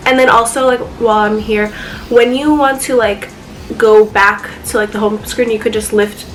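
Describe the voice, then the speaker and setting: A woman's voice: a little high, fairly bright tone, slight background noise, rough recording. Chatty young woman, a bit congested. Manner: chatty, heavy on "like" and fillers. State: slightly congested. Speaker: YouTuber. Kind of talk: casual phone tour vlog.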